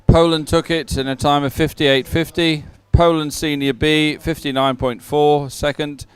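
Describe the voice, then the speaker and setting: A man giving live sports commentary in a chatty, somewhat halting announcing style. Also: on the high side, little pitch movement, clear, resonant tone, no room sound.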